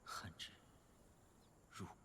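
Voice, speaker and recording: A man voicing a calm, teasing young man in an animated fantasy drama. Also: slow pace, no echo. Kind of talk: Chinese animation voice acting.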